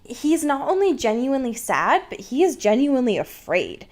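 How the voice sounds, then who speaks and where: A woman with a somewhat high voice talks as a podcast co-host in casual chat. Her delivery is informal and conversational, with points hedged as she works through them. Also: very animated, normal speed, darkish tone.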